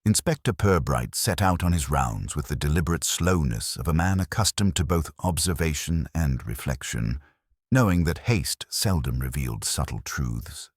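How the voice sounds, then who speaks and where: A man narrating a scripted detective story in a measured, literary storytelling manner. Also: rumbling low, husky, no echo, clean studio sound.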